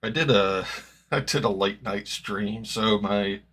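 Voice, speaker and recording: A man with a slightly gravelly voice, chatting casually as he reacts live to a football match, rambling and correcting himself as he goes. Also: lowish voice, takes it slowly, a bit bright. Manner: rambling, self-correcting, hopeful fan. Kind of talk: casual live-stream chat.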